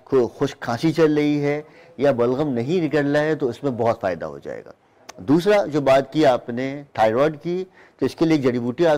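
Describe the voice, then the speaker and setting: A man appearing as a studio guest, explaining herbal remedies in a measured, instructive way. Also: a little high, darkish tone. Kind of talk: giving health advice.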